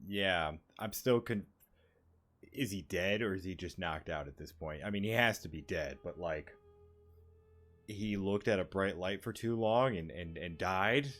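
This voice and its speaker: A man's voice: low-pitched, measured pace, a bit bright. A man doing casual, crude gameplay commentary with sarcastic asides. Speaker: gaming streamer.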